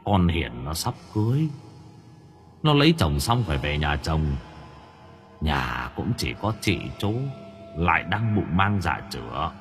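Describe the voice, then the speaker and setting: A man putting on a grave, quiet ghost of an older man, who speaks in a deep, hushed monotone that drops well below the narrator's usual voice. The pitch still rolls up and down a little as he speaks, and faint music sits behind him in this spoken ghost story. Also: full character voice. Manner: low, even, murmured monotone.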